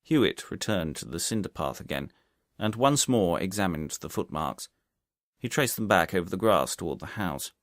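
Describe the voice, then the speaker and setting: Male audiobook reader, deeper register, animated delivery, very dry close sound. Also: normal speed, no echo. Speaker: audiobook narrator. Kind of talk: scripted narration of a detective story.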